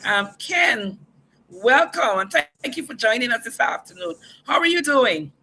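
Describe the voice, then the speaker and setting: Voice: mid-range voice, sing-song, normal speed, sharp tone. The host of a livestream talk show, upbeat, introducing guests and repeatedly asking viewers to share the stream.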